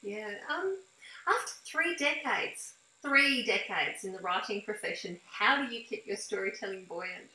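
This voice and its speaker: A woman's voice: medium pitch, animated, measured pace, pushed and bright. A middle-aged woman, asking questions in a conversational interview. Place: indoor home room. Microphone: computer mic on a video call. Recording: echoey room on video call.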